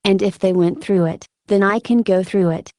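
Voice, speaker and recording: A woman giving reflective interview answers in a halting, thoughtful way with frequent filler words. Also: medium pitch, darkish tone, dry recording, clean studio sound. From Ukraine. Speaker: military combat medic being interviewed.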